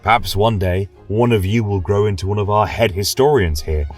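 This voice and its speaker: A man's voice: on the low side, normal speed, faint music behind, lightly acted. A man playing a genial, rambling lecturer at a college of magic, speaking in a flowery, coaxing way in a scripted fantasy audio drama. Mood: musing, wistful. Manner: flowery, gently coaxing, chuckling scholar.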